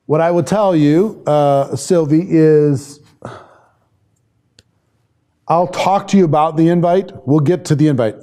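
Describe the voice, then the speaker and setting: A man's voice: medium pitch, mellow. A man working as a sales trainer, teaching a live online class in an informal, conversational way and thinking aloud as he responds to viewers. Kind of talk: informal live lesson, reading and answering viewer comments.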